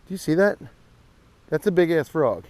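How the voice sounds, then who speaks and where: A man's voice: on the high side, sing-song, moderate pace, darkish tone, dry recording. An American man, around his forties, chatting casually and irreverently as he hosts a rambling comedic walking vlog, swearing freely. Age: 40s. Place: outdoors, walking on a trail.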